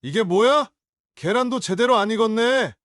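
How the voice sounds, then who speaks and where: An actor playing a drunk, contemptuous adult son in an audio drama, talking in curt, scornful demands. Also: male voice, very high-pitched, normal speed, fairly bright tone, no room sound, clean studio sound.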